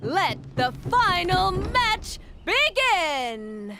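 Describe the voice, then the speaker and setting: A woman playing a showy tournament announcer in an anime-style game, declaiming grandly with drawn-out words. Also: very high-pitched, big pitch swings, over loud music, exaggerated voice. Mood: grand, rousing.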